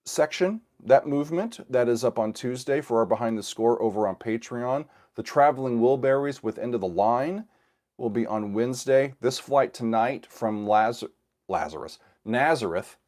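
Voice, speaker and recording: A man's voice: mid-range voice, a little mellow. A middle-aged man hosting a casual livestream, a little scratchy from a cold, rambling with fillers and restarts. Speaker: host of a music reaction channel. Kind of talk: casual livestream chat with viewers.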